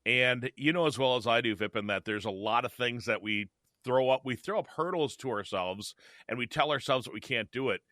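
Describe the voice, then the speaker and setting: A man's voice: mid-range voice, a little fast, sharp tone, no echo, clean studio sound. A man in his fifties co-hosting a podcast, chatting casually and often repeating himself. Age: 50s. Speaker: podcast co-host. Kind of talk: casual chat.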